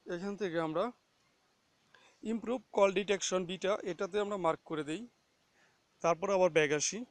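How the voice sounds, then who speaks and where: A man's voice: high-pitched, fairly even intonation, normal speed, dry recording. A man hosting a casual, step-by-step phone app tutorial, instructing listeners and often checking that they follow. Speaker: tutorial host.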